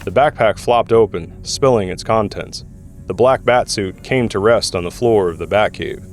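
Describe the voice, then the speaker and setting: A man narrates a story in a fairly low voice. His delivery is scripted, descriptive storytelling in the third person. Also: moderate pace, soft background music.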